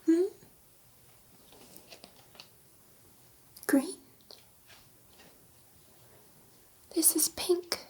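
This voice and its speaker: A woman playing a gentle, curious alien scientist in an ASMR roleplay, speaking in a soft, breathy, reassuring whisper and repeating her phrases. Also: very high-pitched, steady tone, unhurried. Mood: soothing, encouraging.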